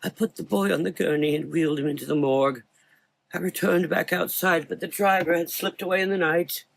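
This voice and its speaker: A man voicing a frightened, guilt-ridden blind old doctor in a gothic audio drama, anguished and halting as he talks. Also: fairly high pitch, fairly even intonation, creaky, a little hiss or hum. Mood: anguished, haunted.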